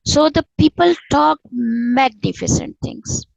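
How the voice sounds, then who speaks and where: Creaky-voiced woman, close dry sound. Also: high voice, expressive, slow, a little mellow, no echo, highs cut off. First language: Urdu. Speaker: interview guest, author and spiritual teacher. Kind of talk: conversational explanation of spirituality.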